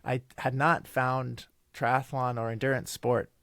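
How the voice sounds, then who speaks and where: A man's voice: mid-range voice, little pitch movement, a little slow, a bit bright, no room sound, studio quality. A man in his thirties, raised in Italy with Italian as his first language, talking as a podcast guest in a thoughtful, rambling way with restarts. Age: 30s. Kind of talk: casual interview chat.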